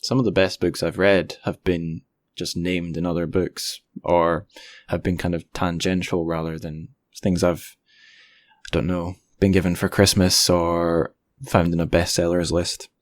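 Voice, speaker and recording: Young man, low voice, dry close sound, informal podcast interviewer, self-deprecating. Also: flat delivery, measured pace, no echo. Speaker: podcast host. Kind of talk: casual interview.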